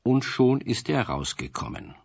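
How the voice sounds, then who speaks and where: A man's voice: mid-range voice, very animated, measured pace, vocal fry, darkish tone, husky, no echo, heavily acted. A man as the narrator of a children's radio story, telling it expressively. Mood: wry storytelling. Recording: dull 1970s radio recording.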